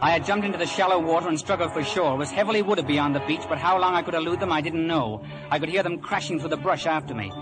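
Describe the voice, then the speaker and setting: A man plays an earnest young hero recounting a sea adventure. His voice sits a little high and he tells it with dramatic care over prominent music, as in a radio play. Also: fast, hardly any pauses, lightly acted, low-quality recording. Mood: tense. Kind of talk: dramatic scripted narration.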